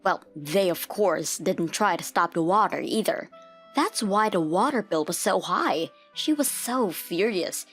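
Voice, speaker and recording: Low-pitched woman narrating a story. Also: expressive, unhurried, fairly bright tone, slightly rough, soft background music. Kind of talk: scripted story narration for a manga dub.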